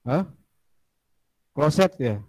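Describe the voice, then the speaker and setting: Male teacher, mellow low-key tone, dry close sound, chatty classroom lecture. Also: lively intonation, drawn-out words, no echo. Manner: chatty, asks students questions, drifts into asides.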